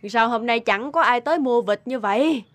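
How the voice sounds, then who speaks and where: A woman playing a duck seller's older, scolding wife in a cartoon folktale, sharp-tongued and exclamatory. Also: fairly high pitch, moderate pace, close to an everyday voice. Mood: exclaiming. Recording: music behind the voice.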